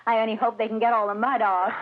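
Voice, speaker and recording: A woman's voice: on the high side, flat delivery, fast talker, close to an everyday voice. A woman playing a fussing worried wife. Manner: fussing, solicitous. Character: worried doting wife Hazel. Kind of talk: 1940s radio acting. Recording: thin phone-like old radio recording.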